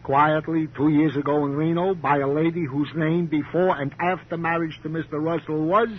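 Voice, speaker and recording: Male police sidekick: aggrieved, officious, formal and precise, higher-pitched, on a muffled vintage radio crime show. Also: fairly even intonation, moderate pace, husky. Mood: officious, pompous. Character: fussy, self-pitying male police sidekick with pompous diction. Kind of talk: 1950s radio crime drama acting. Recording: muffled, hissy old radio broadcast.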